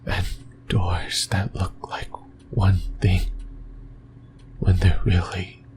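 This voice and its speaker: A man playing an obsessive, lonely sleep paralysis demon in a breathy, intimate whisper for an ASMR horror roleplay, hesitant and rambling. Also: low voice, completely flat delivery, very slow. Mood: anxious rambling.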